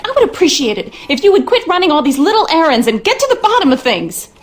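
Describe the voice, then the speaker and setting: An anxious, demanding wife in a mystery game, her voice fairly high and swinging widely in pitch. She talks with distrust and indignation, as someone badly wronged. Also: very animated, quick pace. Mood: suspicious, demanding. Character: distraught, suspicious, demanding wife of a missing man. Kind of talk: video game character acting.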